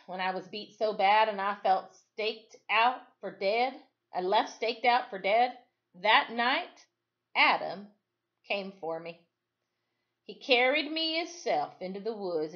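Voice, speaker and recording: A woman's voice: medium pitch, fairly bright tone, highs cut off. A woman voicing a plainspoken, formerly enslaved storybook narrator who recalls the past in a folksy, emphatic, reflective way.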